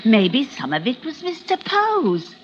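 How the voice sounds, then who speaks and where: A chirpy working-class London landlady chatters in a shrill, sing-song way. Her voice is high and leaps wildly. An old radio recording with clear hiss. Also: wildly swooping pitch, slow pace, heavily acted. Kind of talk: 1940s radio drama acting.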